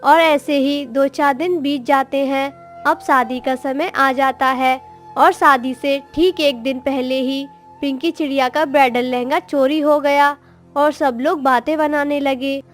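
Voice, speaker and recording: A woman's voice: high voice, fairly even intonation, measured pace, faint music behind. A woman narrator reading a scripted moral story for a cartoon, in storytelling style.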